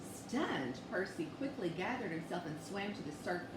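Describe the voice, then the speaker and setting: A woman reading a children's bedtime story aloud with expressive, measured delivery. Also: fairly low pitch. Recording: echoey room, distant mic, noisy background.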